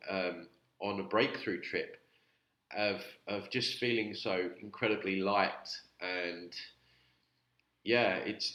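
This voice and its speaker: A man with a cold gives loose, rambling commentary full of fillers, his voice audibly nasal. His tone is bright and forceful over a low pitch. The room adds a noticeable echo. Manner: chatty, rambling, with many fillers. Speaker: YouTube channel host reviewing videos. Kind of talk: casual vlog commentary.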